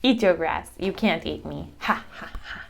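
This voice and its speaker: A woman's voice: on the low side, normal speed, gravelly, noisy background. A woman reading a storybook, playing a cheeky, boastful little gingerbread man in a teasing, playful way. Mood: teasing, smug.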